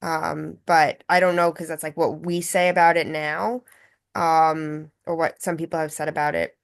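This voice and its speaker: A woman's voice: sits low, flat delivery, normal speed, vocal fry. A woman taking part in a casual discussion among actors, feeling her way tentatively through her thoughts as she talks. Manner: tentative, thinking aloud.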